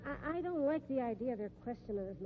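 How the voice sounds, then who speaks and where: An actress playing a brassy, suspicious, sharp-tongued carnival woman with an American accent and slangy, needling talk in a 1950s radio drama. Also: high-pitched, animated, fast, music in the background. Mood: nervous. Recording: dull, humming 1950s radio recording.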